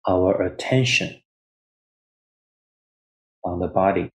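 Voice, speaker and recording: A man with a low voice gives guided meditation instruction as a Buddhist teacher. He speaks very slowly, in short measured phrases. Long pauses separate each step of the instruction. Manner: refers to himself in third person as teacher.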